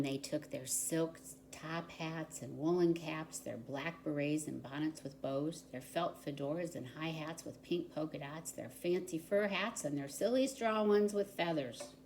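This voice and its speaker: A woman reads a children's story aloud in a low-pitched voice. She takes her time, giving each phrase expressive, deliberate weight for small listeners. There is some faint background noise. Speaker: volunteer story reader. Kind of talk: children's picture book read-aloud.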